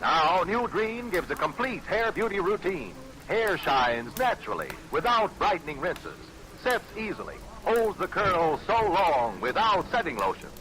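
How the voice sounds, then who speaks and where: American male pitchman, high voice, swooping delivery, emphatic, 1940s radio commercial, noisy recording. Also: big pitch swings, unhurried, few pauses, bright, forceful tone. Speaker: commercial announcer.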